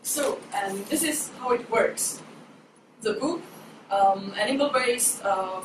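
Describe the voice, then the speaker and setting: A woman's voice: medium pitch, slow. A young woman from the Czech Republic speaks playfully in a student presentation. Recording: echoey room, distant mic, noise.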